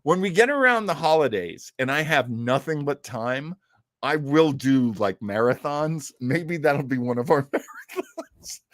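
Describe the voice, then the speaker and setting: A podcast host with very lively pitch and an unhurried pace, recorded close and dry. The delivery is casual, effusive and playful, with a theatrical streak. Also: dry recording. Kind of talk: casual livestream chat.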